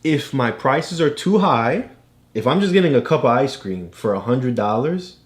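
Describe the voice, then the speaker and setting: A man's voice: medium pitch, expressive, normal speed. A man patiently explaining things to a child as a father during a read-aloud, teaching with questions and examples. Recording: roomy, echoey home recording.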